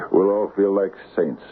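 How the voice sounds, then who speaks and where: An actor playing a weary, guilt-ridden small-town barber with a halting, sardonic delivery in a radio drama. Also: male voice. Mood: bitterly sardonic. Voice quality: deep, gravelly. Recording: tinny, noisy old radio broadcast.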